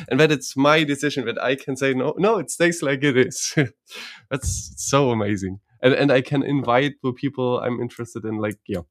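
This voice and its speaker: A man's voice: mid-range voice, no room sound, clean studio sound. A man with a German accent hosting a podcast, chatting casually and with enthusiasm. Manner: enthusiastic, laughs easily. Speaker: podcast host. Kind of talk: casual interview chat.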